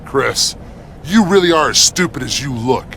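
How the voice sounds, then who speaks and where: A man's voice: medium pitch, animated, normal speed, creaky, fairly bright tone, a little hiss or hum. A man playing a vengeful, gloating rival pro wrestler in a video game, mocking and taunting. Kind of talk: scripted video game cutscene acting.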